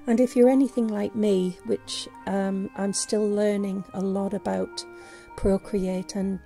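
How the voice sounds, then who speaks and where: A woman with a sore, fading voice talks through a casual tutorial voice-over, her tone gravelly and mellow. She hesitates often, restarting her sentences. Soft music plays faintly behind her. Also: mid-range voice, flat delivery, unhurried. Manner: hesitant, many fillers and restarts. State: losing her voice, sore throat. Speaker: vlogger. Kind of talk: casual screen-recorded tutorial. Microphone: voice-over on screen recording.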